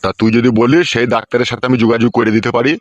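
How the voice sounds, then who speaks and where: A quick, close and dry cartoon voice, acted by a man: a greedy, bossy village uncle from a comedy. He scolds and explains, pressing the listener to keep up. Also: medium pitch, little pitch movement, no echo, highs cut off, natural voice. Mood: impatient, explaining. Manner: scolding, bossy, peppered with check-in questions. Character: scheming, foul-mouthed middle-aged village uncle, bossy and greedy. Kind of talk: Bengali comedy cartoon dubbing.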